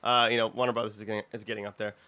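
Bright, fast-talking man chatting. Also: on the low side, little pitch movement. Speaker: podcast panelist. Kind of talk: casual excited group chat.

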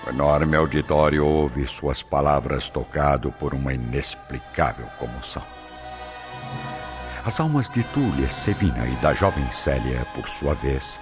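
A man narrating a radio drama in a dramatic, expressive style. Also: rumbling low. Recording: muffled audio with loud background music.

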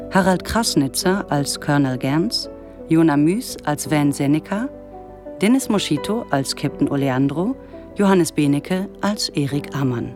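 Low-pitched, unhurried woman announcer over music. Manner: measured, neutral, clearly articulated. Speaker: announcer of a radio play. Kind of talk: scripted credits announcement.

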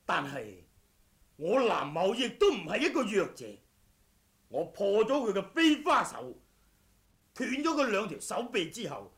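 Man acting a relentless, slow-spoken vengeful swordsman. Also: sits high, sing-song, fairly bright tone, exaggerated voice. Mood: proud, taunting. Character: vengeful wuxia swordsman, relentless, grimly proud. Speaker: audiobook voice actor. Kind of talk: Cantonese martial-arts radio drama acting.

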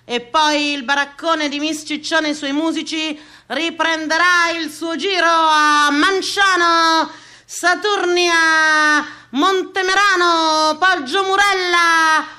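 A woman playing a bombastic fairground sideshow barker in a theatrical radio piece, crying out drawn-out, declamatory phrases. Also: very high voice, fairly even intonation, pushed and bright, full character voice. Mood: bombastic hawking.

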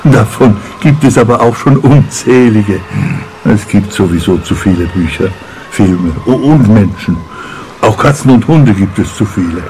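A man's voice: on the low side, lively intonation, moderate pace, darkish tone, a little hiss or hum, lightly acted, low-quality recording. An actor playing a gloomy, grumbling man who rambles philosophically in a radio play. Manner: rambling, grumbling, self-correcting.

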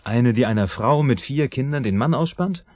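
An actor playing a brooding, moralistic, jealous husband in his thirties in a German radio drama, with a husky voice and an earnest, deliberate manner. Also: medium pitch, animated, darkish tone, close to an everyday voice. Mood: reproachful.

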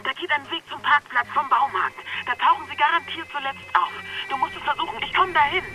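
An actress playing an alert, decisive woman guiding a friend by phone in a German radio play, brisk and urgent. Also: high voice, natural voice, rough recording.

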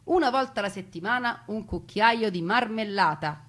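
A woman delivering a theatrical narrated monologue, expressive, with deliberate pauses and emphasis. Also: medium pitch. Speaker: stage storyteller.

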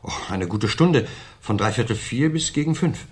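A man's voice: mid-range voice, expressive, moderate pace, close to an everyday voice. A man playing a suave, self-important businessman who nervously gives his alibi in a German radio crime drama, explaining himself in an ingratiating way. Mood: careful, courteous. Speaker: actor in a radio crime play.

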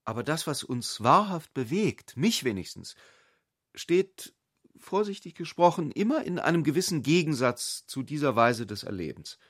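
An actor playing an ironic, provocative intellectual gentleman in a literary radio drama, musing in short, searching phrases. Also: on the high side, sing-song, moderate pace.

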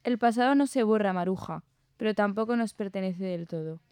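A woman's voice: medium pitch, a little fast, a little mellow, smooth, no room sound, studio quality, lightly acted. A woman playing a committed, wistful writer in an audio drama, with a composed and pensive manner. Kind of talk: scripted audio drama dialogue.

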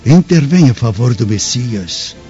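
Mellow, humble old man. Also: mid-range voice, sing-song, unhurried. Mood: pleading. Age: older. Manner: pleading, reverent. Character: humble old Samaritan man pleading for mercy. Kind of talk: radio drama acting. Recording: dull, band-limited radio drama with music.

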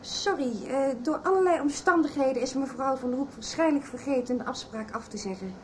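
An actress playing a formal, apologetic office receptionist in a 1990s Dutch radio soap. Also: female voice, high-pitched, brisk, a little hiss or hum, close to an everyday voice.

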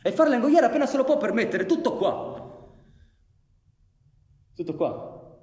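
An actor performing emphatic, brooding narration of a bitter anarchist's story for radio theatre. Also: male voice, very high voice, very animated, unhurried, a little mellow, exaggerated voice. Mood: resigned, bitter. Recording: reverberant hall, live music behind. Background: live music.

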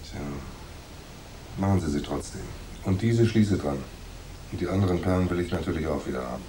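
A man's voice: sits low, a little fast, warm tone, a little hiss or hum, close to an everyday voice, low-quality recording. An actor playing a laconic private detective in a noir radio drama, terse and understated as he talks and narrates. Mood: terse, demanding. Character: laconic hard-boiled male private detective narrating his case.

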